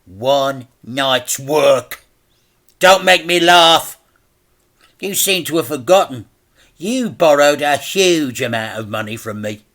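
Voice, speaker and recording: Guy doing a sinister gangster boss for a kids story, mocking, forceful, drags words out. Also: mid-range voice, expressive, pitched above the performer's own voice. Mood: menacing. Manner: mocking, drawn-out menacing delivery. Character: sinister, mocking male crime boss with henchmen. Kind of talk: children's storybook character voice.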